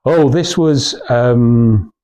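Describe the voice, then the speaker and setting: A man's voice: medium pitch, drawn-out words, warm tone. A male speaker gives an informal talk to a small group. His delivery is hesitant and anecdotal. He wanders through his points, often breaking off and starting a phrase again. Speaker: guest speaker at a club talk.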